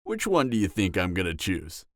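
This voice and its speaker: Man acting a low-voiced, cocky flirtatious vampire. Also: huge pitch swings, a bit bright, dry recording, studio quality, exaggerated voice. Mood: cocky, teasing. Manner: teasing, smug, seductive drawl with dramatic pauses. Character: cocky, flirtatious, dangerous adult male vampire, former killer. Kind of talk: flirty audio roleplay acting addressed to the listener.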